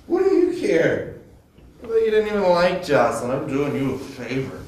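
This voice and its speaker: A man's voice: on the high side, huge pitch swings, moderate pace, darkish tone. A man playing a defensive, lovelorn ghost who died young, in a theatrical drama, speaking casually and with sarcasm. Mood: sardonic. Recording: distant, very echoey room, faint noise.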